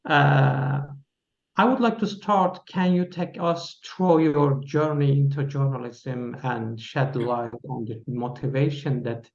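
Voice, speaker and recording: A man hosting an interview who reads a formal introduction and asks questions in a halting way, with restarts. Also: mid-range voice, gravelly. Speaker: interview host. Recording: roomy, dull lo-fi sound.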